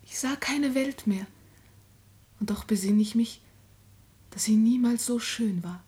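An actress playing a devout, lovesick young daughter in a classic radio drama, fervent and anguished, often breathy and close to a whisper. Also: female voice, a little high, unhurried, mellow, close to an everyday voice. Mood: rapturous. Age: young adult. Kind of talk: classic German stage drama radio acting.

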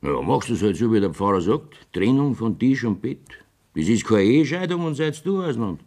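A man's voice: medium pitch, very animated, a little fast, darkish tone. A jovial, evasive Bavarian village mayor blusters in earthy dialect. His mid-range voice swings widely up and down, in folksy radio play style.

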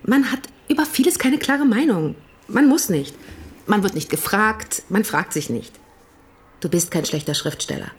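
An actress playing the frank, exasperated wife of a writer in a radio play, speaking in a candid, pointed way. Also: female voice, medium pitch, expressive, moderate pace, lightly acted.

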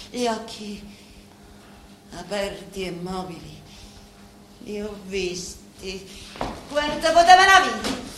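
An actress playing a bitter, scheming woman of a mafia family in a stage drama, with a Sicilian accent and a deliberate, theatrical delivery that swells suddenly. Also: mid-range voice, natural voice. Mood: brooding, intense. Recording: very echoey room, faint background noise.

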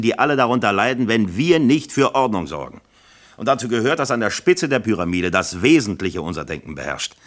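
A man's voice: lowish voice, fairly bright tone. A man playing a brusque, authoritative East German factory party secretary in a German radio play, commanding and lecturing in manner.

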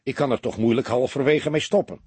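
A man voicing a weary, dutiful veteran police detective with a dry, deadpan and pensive manner, in an audiobook. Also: medium pitch, a little fast, slightly rough, no echo, pitched above the performer's own voice.

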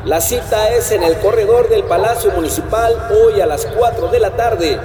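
Out of a long outdoor reverb and clear street noise comes an actor as a male town announcer with a high voice. He declaims a public summons formally, in radio drama style. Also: moderate pace, natural voice.

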